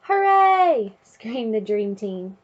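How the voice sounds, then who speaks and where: A woman reading a children's picture book aloud in an expressive way, chanting refrains and sound words. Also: fairly high pitch, a little slow, dull audio. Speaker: storybook reader.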